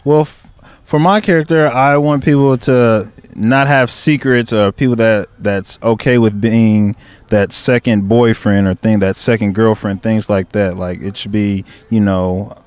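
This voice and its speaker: A male actor answering interview questions in a hesitant way, pausing with fillers. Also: lowish voice, steady tone, darkish tone, clear, resonant tone, no echo.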